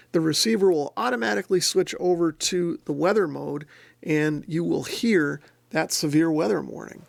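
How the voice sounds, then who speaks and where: Male host, product walkthrough. Plain-spoken, methodical, noticeable vocal fry, very dry indoor sound. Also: fairly high pitch, no room sound. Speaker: host, radio reviewer. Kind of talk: step-by-step product demonstration. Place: indoor room.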